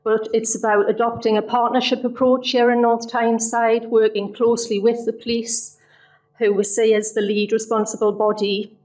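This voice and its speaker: A woman councillor giving a measured speech in a council meeting, pausing with ums, her voice a little strained. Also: fairly high pitch, poor audio. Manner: measured, steady delivery with frequent ums. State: losing her voice. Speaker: councillor moving an amendment. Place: council chamber.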